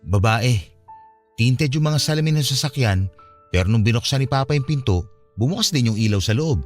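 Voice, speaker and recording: A man's voice: medium pitch, lively intonation, a little fast, natural voice. A man acting an earnest young man who confides a painful family past in a conversational radio drama style. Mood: confiding, recounting. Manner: conversational, confessional. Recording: music behind the voice.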